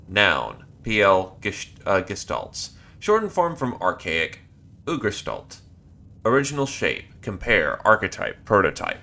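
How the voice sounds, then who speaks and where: A man streaming a horror game live, reading on-screen text aloud and breaking into loud, excited outbursts. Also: on the low side. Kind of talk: live gameplay commentary.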